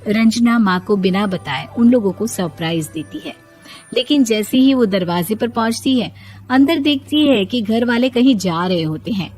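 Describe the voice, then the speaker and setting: Woman reading out a moral story, highish voice, light music in the back. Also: lively intonation, moderate pace, a little mellow. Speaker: story narrator. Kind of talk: scripted moral story narration.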